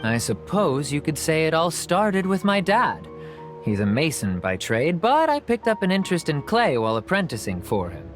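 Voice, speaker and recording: A woman's voice: low voice, very animated, moderate pace, music in the background. A woman voicing a friendly, chatty potter who runs a stall in a video game. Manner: warm sales talk, chatty.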